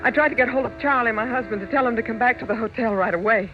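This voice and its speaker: An actress playing a frightened wife in an old radio drama, earnest and dramatic. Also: female voice, on the high side, fast talker, background noise, lightly acted. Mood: shaken. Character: frightened wife who believes her husband is trying to kill her. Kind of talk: 1940s-1950s radio drama acting. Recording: dull old-time radio recording with music behind.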